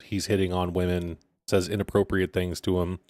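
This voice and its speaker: A man with a deep voice co-hosting a casual podcast, blunt and quick to swear. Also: little pitch movement, darkish tone, no room sound, studio quality. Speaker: co-host.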